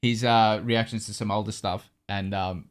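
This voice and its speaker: A man's voice: lowish voice, steady tone, normal speed. A man in his thirties, co-host of a reaction channel, chatting in an easygoing, rambling way. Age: 30s. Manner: easygoing, chatty, with restarts and laughs. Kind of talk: casual chat.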